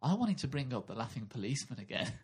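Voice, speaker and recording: A man's voice: medium pitch, big pitch swings, quick pace, slightly rough. A man co-hosting a comedy podcast, telling silly improvised stories with lots of giggling. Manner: giggly, playful, silly storytelling. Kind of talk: absurd improvised comedy chat.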